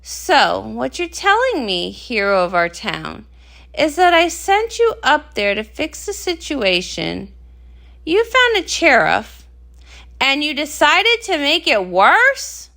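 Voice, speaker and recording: A woman's voice: high voice, big pitch swings, slow pace, creaky, bright, forceful tone, full character voice. A woman voicing a demanding, blunt female pony who captains the guard in a storybook. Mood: incredulous.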